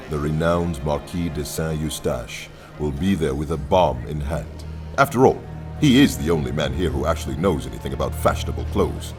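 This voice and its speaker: Deep, commanding villainous leader. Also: male voice. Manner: pompous, condescending, grandiloquent. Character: imposing, sardonic anarchist leader, commanding and theatrical. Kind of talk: radio play acting.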